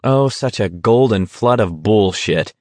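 A sardonic young man read by a male narrator needles with dry, mocking wit. The audiobook voice is fairly low and closely recorded, without room sound. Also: expressive, measured pace, a little mellow, no echo. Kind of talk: audiobook character dialogue.

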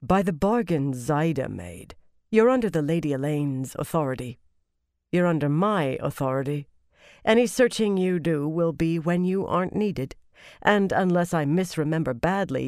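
A narrator voicing a stern woman commander, mild-voiced but firm and quietly menacing, in a fantasy audiobook. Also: mid-range voice, expressive, normal speed, no echo, studio quality, natural voice. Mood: restrained anger.

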